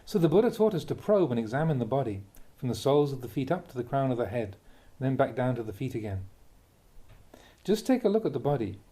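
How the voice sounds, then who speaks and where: Man, mellow relaxed tone, lively rise and fall of pitch, deliberate phrasing, spiritual talk read aloud. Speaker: monk reading a Buddhist teaching aloud.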